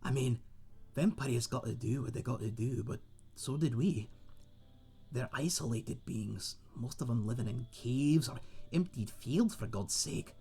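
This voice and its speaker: A man's voice: mid-range voice, mellow, husky. A man playing a friendly, rambling tavern owner and seasoned adventurer, telling tales to one listener in a folksy, chatty way in a fantasy audio roleplay. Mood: wistful, reflective. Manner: chatty, folksy, dramatic pauses, calls listener mate.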